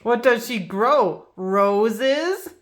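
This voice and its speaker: A woman voicing a boastful, teasing young schoolboy in a children's storybook, speaking loudly and mockingly. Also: on the high side, animated, extremely slow.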